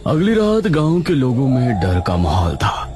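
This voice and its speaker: A male storyteller reads a dramatic scripted tale. His delivery is slow with a lively, wide-ranging pitch. Music plays clearly underneath. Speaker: story narrator.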